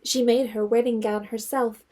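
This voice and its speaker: A woman's voice: a little high, little pitch movement, normal speed, darkish tone. A woman reading scripted literary narration for an audio drama.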